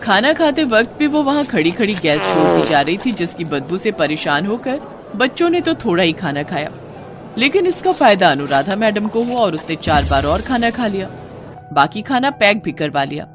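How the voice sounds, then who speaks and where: A woman narrating a scripted animated moral story as storyteller, in an expressive, dramatized way. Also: medium pitch, normal speed, over music.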